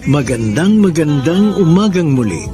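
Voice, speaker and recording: A man's voice: fairly high pitch, sing-song, moderate pace. A Filipino man hosting a radio drama with florid, theatrical, romantic scripted narration. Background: soft music.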